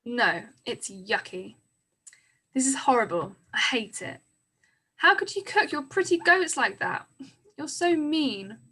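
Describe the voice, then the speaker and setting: Woman as a bright, high-voiced petulant little girl. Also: lively intonation, slow pace, husky, exaggerated voice. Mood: disgusted. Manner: petulant, emphatic. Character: tender-hearted, fiery little farm girl.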